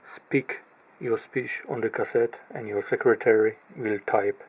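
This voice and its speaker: Slow, halting low-voiced man. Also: fairly even intonation, husky, poor audio. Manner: halting, pauses mid-phrase while pointing at parts. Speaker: electronics repair hobbyist. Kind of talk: slow, methodical narrated teardown.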